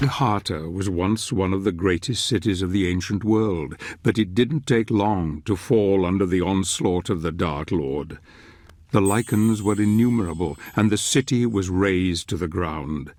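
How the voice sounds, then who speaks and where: An actor playing a solemn, brooding older narrator of a dark fantasy game, speaking in a measured, theatrical way. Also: male voice, sits low. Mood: grave, foreboding. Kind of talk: scripted dramatic storytelling narration.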